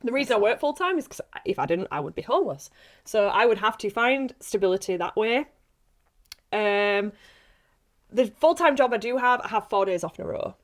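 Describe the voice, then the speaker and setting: A woman's voice: mid-range voice, lively intonation, normal speed. A woman, an actor and model, chatting casually in an interview.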